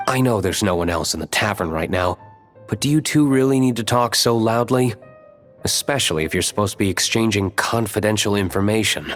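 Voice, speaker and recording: An actor playing a reserved, unsociable male tavern owner in a video game, deadpan and curt. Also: fairly low pitch, brisk, faint music behind, natural voice. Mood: weary, annoyed.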